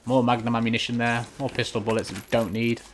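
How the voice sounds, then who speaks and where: A man's voice: medium pitch, flat delivery, quick pace, a little hiss or hum. A man giving casual video game walkthrough commentary as he plays. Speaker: gamer, walkthrough host. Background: game music and sound.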